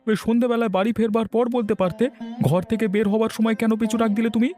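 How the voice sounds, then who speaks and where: A man's voice: sits high, fairly even intonation, fast talker, over music, lightly acted. A man playing an irritable, dismissive husband in a cartoon, snapping and grumbling. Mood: irritated.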